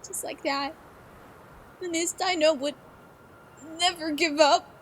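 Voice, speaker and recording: Woman voicing a high-pitched, grief-stricken young pony heroine. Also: steady tone, slow, a little hiss or hum. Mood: pleading, tearful. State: crying. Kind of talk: fan audio drama acting. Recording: music underneath.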